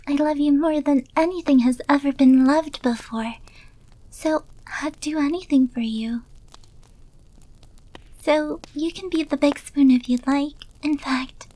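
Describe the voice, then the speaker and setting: A woman's voice: high-pitched, dark tone, low-quality recording. A woman acting as a sweet, submissive, obsessively devoted young maid girlfriend in an ASMR roleplay, coy and eager to please. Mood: adoring, submissive.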